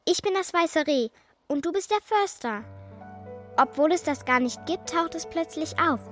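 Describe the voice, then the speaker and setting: A little girl in a children's radio play. Her voice is high, with a childlike, matter-of-fact way of doubting and imagining, and faint music sits behind it. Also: lively intonation, normal speed, a bit bright. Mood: playful.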